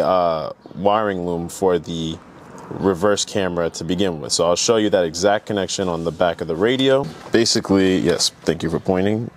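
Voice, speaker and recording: Low-voiced enthusiastic man hosting a vlog. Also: a little hiss or hum. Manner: enthusiastic, informal. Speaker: car vlog host. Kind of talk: casual hands-on tutorial vlog.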